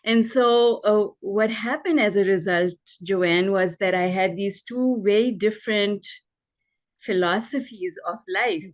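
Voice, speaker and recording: A middle-aged woman from Pakistan tells her story as a podcast guest, her voice sitting at an ordinary middle pitch. She talks in an easy, conversational way and laughs often between thoughts. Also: measured pace. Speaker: guest, life coach and author.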